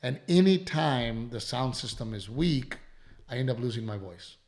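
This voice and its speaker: A man's voice: medium pitch, expressive, normal speed, a little mellow. A man, hosting a casual podcast and rambling in a worn, strained voice, repeating himself and swearing casually. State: voice worn from allergies and shows. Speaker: comedian and podcast host.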